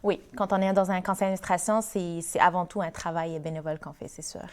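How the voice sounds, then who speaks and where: A woman's voice: lowish voice, fairly even intonation, fast, darkish tone, smooth. A young woman from Morocco, a board treasurer, answering questions in a TV interview, with many fillers and restarts. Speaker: guest, board treasurer of a community association. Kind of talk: TV interview, casual conversation.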